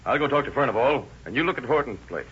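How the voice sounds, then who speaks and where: An official, no-nonsense detective in an old radio drama. He asks questions quickly and directly in a forceful, slightly rough voice. The recording is muffled. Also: mid-range voice, animated. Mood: brisk, probing. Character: businesslike male police detective questioning witnesses. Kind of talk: 1930s radio drama acting.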